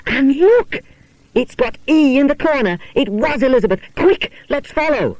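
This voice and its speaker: A man voicing an eager, excitable young schoolchild detective in a storybook, urgent and exclamatory. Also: extremely high pitch, moderate pace, no room sound, highs cut off, voice pushed up. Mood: excited.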